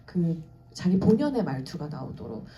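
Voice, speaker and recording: A woman filmmaker explains in careful detail, hesitating with fillers. Her voice is very dark and mellow, a little low. She sounds set back from the microphone in a hall. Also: sing-song, normal speed, distant mic, slight background noise. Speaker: filmmaker behind the screenplay. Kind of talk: explanatory panel talk. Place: cinema auditorium stage.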